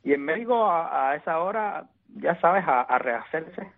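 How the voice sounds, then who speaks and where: Halting Cuban man on a phone line. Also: on the high side, lively intonation, creaky. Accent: Cuban Spanish. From Cuba. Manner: halting, many restarts and repeated words. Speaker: exiled poet guest. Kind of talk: interview answers. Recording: tinny phone-line audio.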